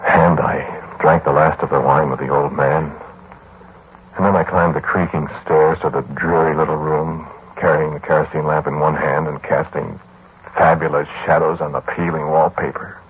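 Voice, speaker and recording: An actor playing a pensive, polite student lodger in a 1940s radio drama, speaking in a measured, brooding way. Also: male voice, a bass voice, flat delivery, slight background noise. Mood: pensive, eerie. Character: pensive male student lodger recounting an eerie memory. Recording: tinny, band-limited 1940s radio recording with music.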